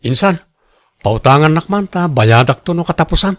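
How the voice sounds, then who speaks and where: A man's voice: a little high, animated, normal speed, no room sound, studio quality, natural voice. A man playing a cash-strapped, defensive husband pleading for loans in an Ilocano radio drama, with an Ilocano accent. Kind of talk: Filipino radio drama acting.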